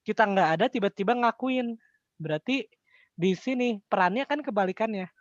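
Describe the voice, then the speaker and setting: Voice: mid-range voice, moderate pace, no echo, lo-fi sound. A tutor with an Indonesian accent explains things step by step in an informal, colloquial way, often posing questions and answering them. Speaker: accounting tutor.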